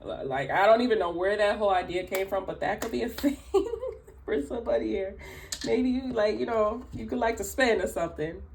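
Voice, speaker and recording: A woman with a raspy early-morning voice, chatting through a tarot reading with many fillers and asides. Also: mid-range voice, brisk, slight background noise. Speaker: tarot reader. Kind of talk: casual spoken tarot reading.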